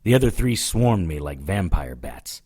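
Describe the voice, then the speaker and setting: A tough investigator in an audio drama, his voice on the low side. He delivers both dialogue and first-person narration in a wry, deadpan, hardboiled way. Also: moderate pace, husky, exaggerated voice. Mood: hardboiled narrating. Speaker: lead actor and narrator.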